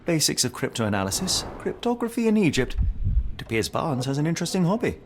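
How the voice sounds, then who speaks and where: An actor playing a shrewd gentleman detective with formal, precise, probing speech in a scripted game. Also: on the high side, sing-song, fast talker, slight background noise. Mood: musing.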